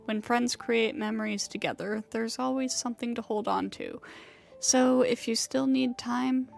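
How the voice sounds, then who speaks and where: A woman's voice: fairly high pitch, steady tone, a little slow, vocal fry, a little mellow, a little hiss or hum. A woman streaming a game, reading dialogue aloud and reacting as she plays. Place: voice-over on a game livestream.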